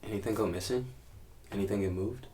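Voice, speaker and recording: An actor playing a curious, sympathetic male investigator who makes terse, hushed interjections in a naturalistic audio drama. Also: on the low side, brisk, echoey room, close to an everyday voice.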